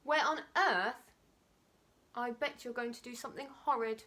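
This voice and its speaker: Woman playing gigantic hippopotamus, indignant, fussy, exclamatory, raised pitch, children's storybook. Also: unhurried, pushed and bright. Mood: curious, suspicious. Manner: exclamatory, high and shocked.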